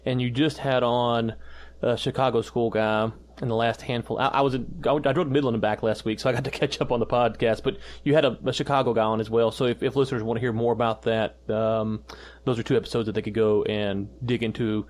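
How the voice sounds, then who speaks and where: A male podcast host talks quickly and casually, setting up questions and plugging episodes for listeners. He has a mid-pitched voice. His delivery is relaxed and chatty. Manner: fast, loose, with fillers and restarts.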